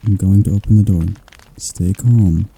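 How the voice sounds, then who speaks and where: A gentle male demon tutor, voiced by a man in an ASMR roleplay, his tone dark and mellow. He talks softly and slowly, reassuring throughout, with a slight stutter. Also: lowish voice, husky, low-quality recording. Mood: soothing. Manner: soft, slow, soothing delivery.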